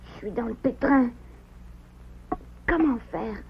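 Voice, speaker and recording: A woman's voice: fairly high pitch, lively intonation, takes it slowly, vocal fry, a little hiss or hum, full character voice. A woman voicing a mischievous, boastful little boy beaver in a children's cartoon, exclamatory and childlike. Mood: worried, scheming. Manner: exclamatory, self-assured, childlike. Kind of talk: dubbed children's cartoon acting. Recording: dull lo-fi cartoon soundtrack, loud music.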